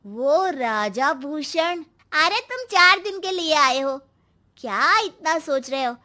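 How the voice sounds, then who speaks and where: A woman's voice: high voice, animated, slow, sharp tone, a little hiss or hum, exaggerated voice. A woman voicing evasive village men in cartoon story dialogue, with a casual, explanatory manner. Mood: dismissive. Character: evasive village men and elders brushing off questions.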